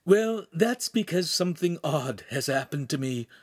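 A man's voice: on the high side, animated, a little slow, slightly rough, no room sound, studio quality, voice pushed up, full character voice. A man voicing a nervous, quirky shape-shifting imp who explains things earnestly in a storybook reading.

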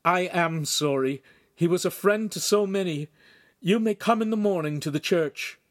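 A man voicing a gentle patient monk. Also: high voice, normal speed, dry recording, clean studio sound, voice pushed up, lightly acted. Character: kindly middle-aged monk, gentle and patient.

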